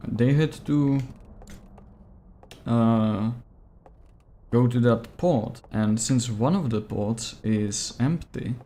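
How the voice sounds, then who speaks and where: A man with a Czech accent whose native language is Czech, streaming a game live and chatting with viewers, often pausing to search for English words. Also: medium pitch, dark tone. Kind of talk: casual live gameplay stream, answering chat.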